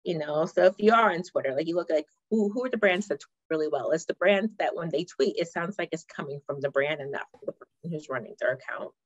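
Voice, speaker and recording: A woman's voice: low voice, quick pace, hardly any pauses, no room sound, lo-fi sound. A woman from Ghana in her thirties, a marketing director chatting casually as a podcast guest, with many fillers and restarts. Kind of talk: casual interview chat.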